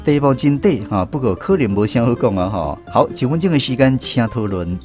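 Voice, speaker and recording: A man's voice: medium pitch, expressive, brisk, over music. A man with a Taiwanese accent, hosting a language quiz segment on the radio. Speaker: radio show host.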